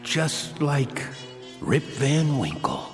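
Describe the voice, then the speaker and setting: An actor playing a suspicious, edgy and defiant thief in a radio drama, with a blunt, challenging way of talking. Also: male voice, mid-range voice, sing-song, drawn-out words. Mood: wary. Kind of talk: dramatic radio play acting. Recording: echoing hall sound, music underneath.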